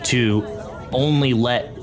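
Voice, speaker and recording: A man's voice: mid-range voice, takes it slowly, a crowd in the background. A man working as game master, narrating a tabletop game in a casual, conversational style. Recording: loud background music.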